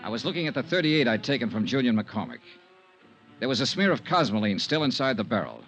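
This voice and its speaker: Wry, tough radio detective, clipped delivery, wide-ranging pitch, muffled vintage recording. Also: male voice, big pitch swings, fast talker, fairly bright tone, faint music behind. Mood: matter-of-fact narrating. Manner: clipped, sardonic, hardboiled. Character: tough, wry male insurance investigator, cool under threat. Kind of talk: 1950s radio detective drama acting with first-person narration.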